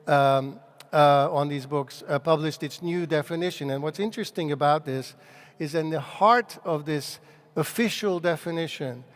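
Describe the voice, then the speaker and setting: Man, guest lecturer, slightly high voice, halting restarts, long hall reverb. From Hungary. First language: Hungarian. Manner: thoughtful, restarts phrases often. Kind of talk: public lecture.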